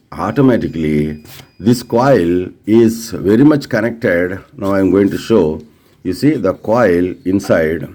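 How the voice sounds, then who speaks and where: A man's voice: lowish voice, dark tone. A man with an Indian accent teaching in an informal, hands-on way, repeating phrases and asking rhetorical questions as he addresses listeners directly. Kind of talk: informal hands-on technical explanation.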